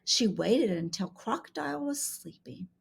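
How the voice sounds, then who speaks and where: A woman's voice: on the high side, gravelly, breathy edge. A woman reading a children's storybook aloud expressively, as a school reader does in an interactive session. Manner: expressive, pauses to ask questions.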